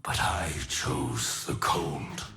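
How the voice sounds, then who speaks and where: Deep, brooding fallen god warrior. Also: male voice, exaggerated voice. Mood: solemn, mournful. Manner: grave, deliberate, formal, brooding. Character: ancient male fallen god warrior, solemn, burdened, resolute. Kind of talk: dramatic scripted game voice lines.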